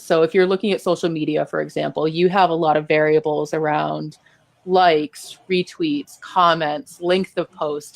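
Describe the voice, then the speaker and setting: Boston-accented woman guest, low and gravelly, patient explainer. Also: no echo. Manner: explanatory, self-deprecating. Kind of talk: casual interview chat.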